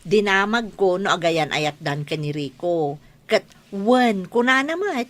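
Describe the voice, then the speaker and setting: Actress as a sharp, chatty Ilocano busybody. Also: female voice, mid-range voice, animated, measured pace. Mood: blunt, insistent. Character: chatty, meddling, blunt female village friend. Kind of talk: Filipino radio comedy drama acting.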